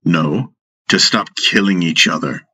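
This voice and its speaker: A man voicing an earnest, peace-minded young warrior who argues deliberately, in a fantasy audiobook. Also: sits low, bright, forceful tone, slightly rough, exaggerated voice. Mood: protesting.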